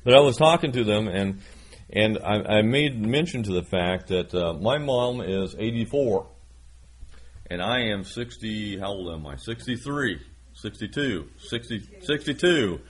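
Man, 60s, preacher; low, husky, folksy and self-deprecating. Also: lively intonation, measured pace. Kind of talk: sermon with scripture reading.

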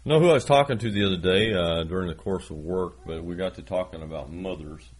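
A man's voice: sits low, quick pace, slightly rough. A man in his sixties preaching a sermon, reading scripture and adding folksy, self-deprecating asides. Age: 60s.

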